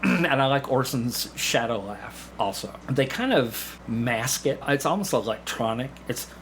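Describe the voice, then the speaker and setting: A man's voice: medium pitch, measured pace. Middle-aged man storytelling over background noise. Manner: animated storyteller, acts out quoted remarks. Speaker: podcast guest, amateur stage actor. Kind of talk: casual interview storytelling.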